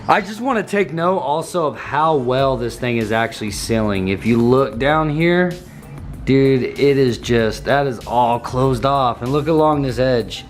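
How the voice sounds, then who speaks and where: A young man with a slightly hoarse voice, walking through a car build in a casual vlog and tossing in dude and bro. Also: medium pitch, expressive, measured pace, faint music behind. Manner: laid-back slangy, says dude and bro. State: slightly hoarse, losing his voice.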